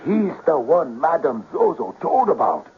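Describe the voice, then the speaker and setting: A man's voice: on the high side, wildly swooping pitch, measured pace, full character voice. An actor playing a practical, persuasive male friend in a 1970s radio drama, coaxing with theatrical hesitations. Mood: excited, urging. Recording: tinny, noisy old radio broadcast.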